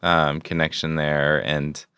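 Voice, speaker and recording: Male podcast co-host, extremely deep, casual and a little halting, recorded dry. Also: level tone, sharp tone, smooth, no room sound. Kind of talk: casual chat.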